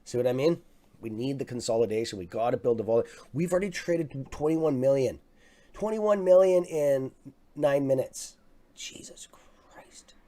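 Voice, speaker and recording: A man with a raspy voice hosting a live stock market stream, talking casually and excitably to his viewers. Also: medium pitch, normal speed. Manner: excitable, swears, talks to chat as "guys". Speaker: livestream stock trading host.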